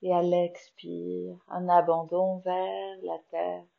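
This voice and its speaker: Casting: French woman, meditation teacher, very slow calm delivery, slightly muffled lo-fi sound. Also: on the low side, vocal fry. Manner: slow, soft, soothing guidance with long pauses. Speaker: yoga nidra teacher. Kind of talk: guided meditation.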